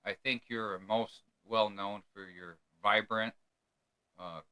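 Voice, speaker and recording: A man's voice: lowish voice, fairly even intonation, slow pace, pushed and bright, clear, resonant tone. A man from New York hosting a casual podcast interview, often stumbling and repeating words as he asks his questions. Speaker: podcast host.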